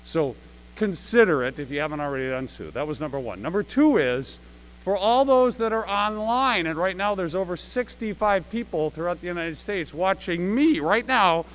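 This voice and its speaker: A man's voice: sits high, animated, normal speed, fairly bright tone, poor audio. A man speaking as a theater director, giving a conversational, rambling live welcome speech to an audience with emphatic repetition. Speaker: theater director and producer introducing a show. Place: school gym stage, live-streamed. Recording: live gym event with faint hum.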